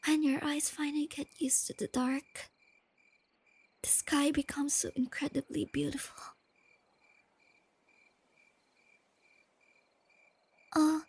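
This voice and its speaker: A woman playing a shy, nerdy, easily flustered young girlfriend in a soft-spoken ASMR roleplay, with a girlish, hesitant, stammering delivery. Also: sits high, no echo. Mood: hushed, wistful. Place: close dry recording with night insects behind.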